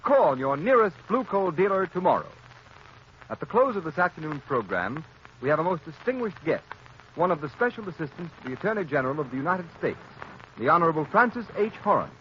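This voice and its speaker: Male vintage radio announcer, slightly high, lively inflection, formal scripted sales delivery. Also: brisk. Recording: muffled, noisy 1930s radio recording.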